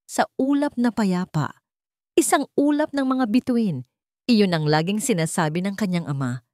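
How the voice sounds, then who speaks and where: A woman's voice: medium pitch, lively intonation, normal speed, a little mellow, dry recording, clean studio sound. A woman narrating a scripted story in a dramatic storytelling style.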